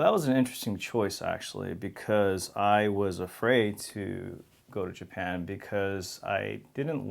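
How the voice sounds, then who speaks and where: Interview guest: New man, low gravelly voice, candid and easygoing, laughs through anecdotes. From New York City. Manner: candid, laughs while telling stories. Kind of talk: casual interview conversation.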